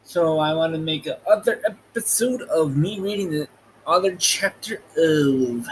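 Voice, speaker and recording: Guy reading a storybook out loud, kinda slow and stumbly, higher voice, bit of noise behind. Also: poor audio. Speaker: host reading a book aloud. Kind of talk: amateur storybook reading with casual asides. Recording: noticeable background noise.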